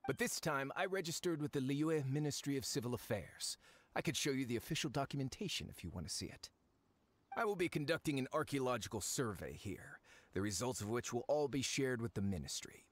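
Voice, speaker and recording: An actor playing a slick, smug and impatient researcher in an anime game, with glib, affected politeness. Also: male voice, mid-range voice, expressive, fairly quick, natural voice. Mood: glib, placating.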